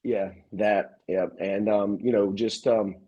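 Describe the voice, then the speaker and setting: A man from South Louisiana, a podcast guest talking in an easygoing, halting way. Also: lowish voice, fairly even intonation, warm tone, husky, muffled recording. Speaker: gym owner guest. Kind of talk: casual conversation.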